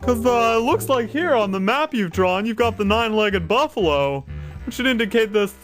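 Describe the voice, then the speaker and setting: A man's voice: high voice, normal speed, soft background music. A man playing an eager, bumbling, dim but surprisingly learned big cleaner in a comic audio adventure. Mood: curious. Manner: bumbling, excitable, folksy.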